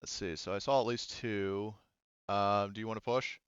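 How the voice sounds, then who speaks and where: Man, smooth low voice, game master, rules talk, informal, thinking aloud, dry close sound. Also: steady tone, normal speed, dry recording, dull audio. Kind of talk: running an online tabletop roleplaying game, rules talk.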